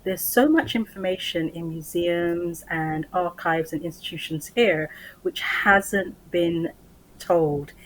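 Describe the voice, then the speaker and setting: A woman's voice: on the low side, takes it slowly, a little hiss or hum, low-quality recording. A woman in her forties, an author speaking as a guest on a TV interview over a video call, talking in an articulate, earnest way. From Barbados and London. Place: small room at home. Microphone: headset on video call.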